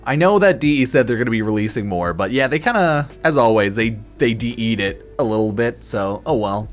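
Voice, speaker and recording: Male game streamer, mid-pitched, loose tipsy rambling with crude slang, music underneath. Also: lively intonation. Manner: slightly tipsy, loose, halting and repetitive; informal, crude slang and swearing. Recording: music behind the voice. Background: background music.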